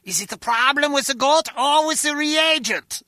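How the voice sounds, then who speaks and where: A man's voice: very high-pitched, moderate pace, bright, forceful tone, no echo. An actor playing a petulant, suspicious and literal-minded male lab assistant in a comic video game, talking in a stilted, insistent way. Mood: baffled.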